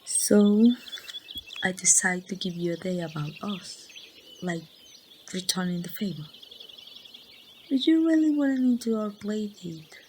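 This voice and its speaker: A woman playing a grieving young woman confiding in her lost best friend in a halting, wistful ASMR roleplay monologue. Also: medium pitch, a little mellow, slight background noise, natural voice. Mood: fond reminiscing. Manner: slow, halting, wistful storytelling. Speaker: amateur voice actor.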